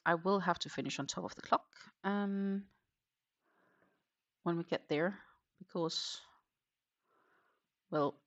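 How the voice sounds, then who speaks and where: A woman's voice: on the low side, gravelly, a bit bright, no echo, muffled recording. A woman hosting a crochet livestream, chatting casually with many ums and muttering her stitches as she works. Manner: chatty, rambling, with frequent ums and half-muttered stitch counting.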